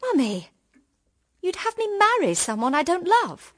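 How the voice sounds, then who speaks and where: Casting: childlike, romantic young British woman for period radio drama. High, very lively, earnest voice. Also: measured pace, no room sound, clean studio sound, heavily acted. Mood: hurt, unsure.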